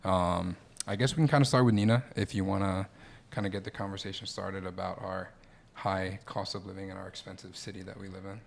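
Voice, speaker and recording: Young male student host from Philadelphia, low and gravelly, relaxed chatty delivery. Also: brisk, a little hiss or hum. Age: young adult. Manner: relaxed, with frequent "um" and "like". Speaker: podcast host, optometry student. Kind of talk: casual podcast chat.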